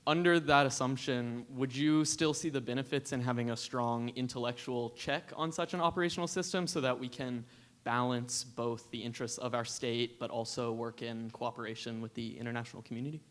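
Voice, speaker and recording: A man's voice: medium pitch, steady tone, brisk, fairly bright tone. A young man in the audience, politely and a little nervously asking a panelist a question. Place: auditorium.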